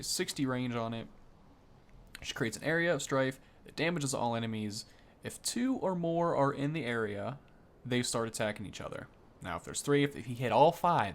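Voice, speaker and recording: Rambling man doing casual game commentary. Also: medium pitch. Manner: rambling, self-correcting, easily impressed. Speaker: gaming video host.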